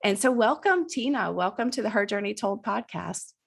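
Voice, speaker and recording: A woman hosting a podcast interview, enthusiastic and effusive, a little nasal and raspy from allergies. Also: medium pitch, expressive, moderate pace. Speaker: podcast host. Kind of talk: conversational interview.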